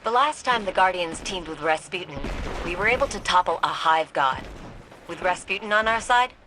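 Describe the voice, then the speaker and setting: A woman's voice: fairly low pitch, animated, background noise, natural voice. An actress playing a confident, wry young woman engineer who briefs the player in a video game. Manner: wry, brisk and self-assured. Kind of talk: scripted video game dialogue.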